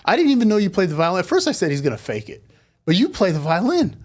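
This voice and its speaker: A man hosting an interview show in casual chat, informal and quick to exclaim. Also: sits high, huge pitch swings. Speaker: podcast host.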